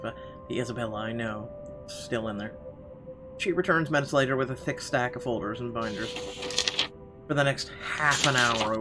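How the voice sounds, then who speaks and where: A man narrating a visual novel as a let's player, reading aloud a little haltingly with casual asides. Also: medium pitch. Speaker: gamer reading the story aloud. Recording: game music clearly behind the voice.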